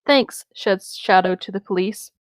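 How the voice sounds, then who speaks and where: A woman's voice: mid-range voice, unhurried, no echo, studio quality. A woman co-hosting a casual read-aloud, trading comments between lines of the story. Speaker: co-host reading fan fiction aloud.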